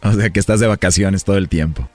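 A man with a Mexican accent, smooth-talking and flirtatious, making a prank call for a radio show. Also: sits low, quick pace, no echo, soft background music. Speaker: radio prank caller posing as a suitor. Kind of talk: flirtatious prank phone chat.

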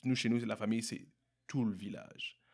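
Young montreal guy, québécois accent, lowish voice, lots of repeats and restarts, casual interview. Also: steady tone, creaky, husky. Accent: Québécois French. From Montreal, Quebec. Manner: halting, many repeated words and restarts. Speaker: entrepreneur guest.

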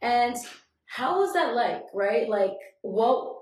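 A woman host asks questions in an encouraging, conversational way, dotting her speech with affirmations and tag questions. Her voice sits slightly high. The room adds a clear echo. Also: animated, slow, a little mellow, a little hiss or hum. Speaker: podcast host. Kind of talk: conversational interview. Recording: echoey room.

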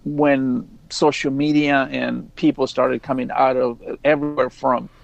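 Gravelly man, reflective and close. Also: mid-range voice, flat delivery, a little slow, no echo, muffled recording, faint music behind. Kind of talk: casual, reflective interview talk.

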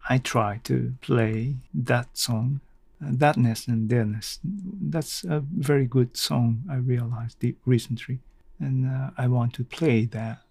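A man from Japan, a native Japanese speaker with a Japanese accent, hosting a casual solo podcast and haltingly thinking aloud. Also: mid-range voice, vocal fry, darkish tone, breathy edge, dry recording. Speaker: podcast host.

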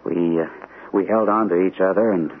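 An actor playing an anxious, hesitant army captain recovering from shell shock in an old-time radio drama. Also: male voice, low-pitched, steady tone, lightly acted. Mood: nervous, hesitant. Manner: hesitant, halting, nervous. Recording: muffled, narrow-band, noisy old radio recording.

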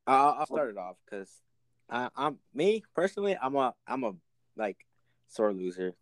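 A young man co-hosting a casual podcast, easygoing and quick to laugh, talking with lots of fillers. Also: mid-range voice, big pitch swings, no echo. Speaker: podcast co-host. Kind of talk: casual chat.

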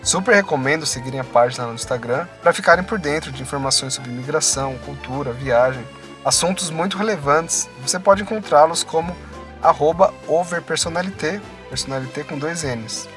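A man hosting a podcast, reading sponsor plugs and shout-outs and then interviewing a guest in a friendly way. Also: a little high, a little fast, music in the background. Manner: friendly, upbeat promo reading. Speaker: podcast host.

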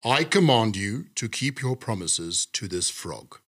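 A man voicing a stern king and father in a storybook, slow and commanding, with a husky voice. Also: high voice, sing-song, pushed and bright, voice pushed up, heavily acted.

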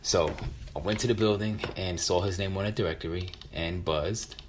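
Very deep-voiced American man telling a story. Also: background noise. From West Philadelphia, United States. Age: 50s. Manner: halting, reflective, repeats words. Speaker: featured storyteller. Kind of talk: personal storytelling, conversational.

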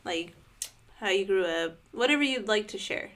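A woman's voice: medium pitch, expressive, moderate pace, a bit bright. A young woman hosting a podcast, upbeat and chatty, peppering her speech with "like". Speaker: podcast host. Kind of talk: casual podcast chat.

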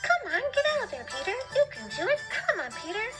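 Woman voicing chirpy little birds, high and eager, cheering, music under. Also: very animated, brisk. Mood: encouraging. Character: chirpy encouraging little sparrows.

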